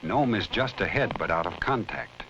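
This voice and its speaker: A man narrating a 1960 educational film in a dramatic, measured storytelling manner. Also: fairly low pitch, animated, bright, forceful tone, a little hiss or hum. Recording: dull vintage film soundtrack. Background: music.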